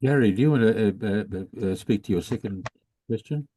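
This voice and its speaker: A man moderating an online discussion, hesitant and halting as he relays audience messages. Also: on the low side, mellow, a little hiss or hum, rough recording. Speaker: webinar moderator.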